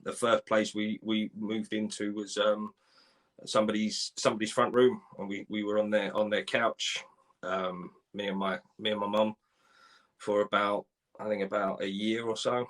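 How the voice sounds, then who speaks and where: A man in his forties from Ireland, telling his life story in a live interview, halting, with many restarts and repeated words. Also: on the low side, flat delivery. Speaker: guest.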